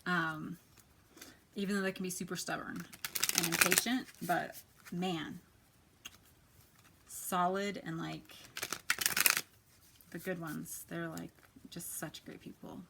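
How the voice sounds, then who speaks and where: A soft-spoken woman with a quiet voice, chatting casually as she gives a tarot reading, often dropping to a near whisper. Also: fairly low pitch, steady tone, slow pace, gravelly, pauses often, heavy background noise. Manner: chatty, many fillers, drifts to near-whisper. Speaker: tarot reader. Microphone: quiet home recording.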